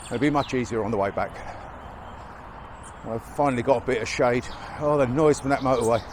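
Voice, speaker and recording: A man's voice: mid-range voice, fairly quick, darkish tone. A man on a walking vlog, chatting casually as he goes, a bit chesty from a cold and slightly out of breath, on a chest-worn mic outdoors. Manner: chatty, wry, a little out of breath. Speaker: walking vlogger. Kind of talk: casual walk-and-talk narration. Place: outdoors by a busy motorway in winter fields. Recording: outdoor mic with faint traffic noise.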